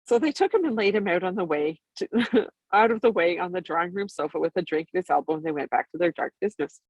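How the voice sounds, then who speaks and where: Bedtime storyteller, female: lowish husky voice, animated intonation, expressive, recorded dry. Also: fast talker, dry recording, poor audio. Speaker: storybook reader.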